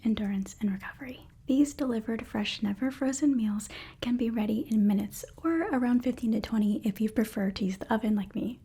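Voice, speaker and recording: A woman softly whispering a scripted sponsor message in a breathy, gentle ASMR style. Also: fairly high pitch. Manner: soft, gentle delivery. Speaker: ASMR video host reading a sponsor message. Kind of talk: ASMR soft-spoken scripted ad read.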